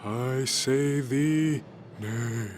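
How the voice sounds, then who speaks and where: An actor playing a solemn cosmic being who speaks formally and pompously and longs to be an action hero. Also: male voice, mid-range voice, very slow, heavy background noise. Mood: solemn, eager.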